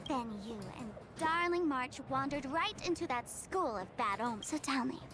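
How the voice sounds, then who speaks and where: High-voiced, sly young streamer girl. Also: animated, moderate pace, background noise. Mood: confiding. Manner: breezy, sardonic, teasing. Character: sly, casual young female streamer trickster, teasing and nonchalant. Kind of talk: video game character acting.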